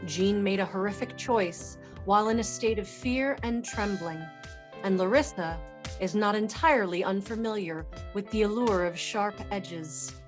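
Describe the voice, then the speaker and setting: A woman playing the grave, measured host of a radio drama hour, reading a scripted introduction. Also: medium pitch, lightly acted. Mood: solemn. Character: solemn female host of a survivors' radio hour. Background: music.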